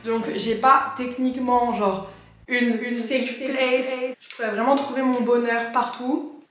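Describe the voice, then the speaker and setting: A young woman vlogging as an au pair, chatting in a lively, slangy way. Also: on the high side, a little slow. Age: young adult. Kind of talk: casual chat. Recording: very echoey room with music.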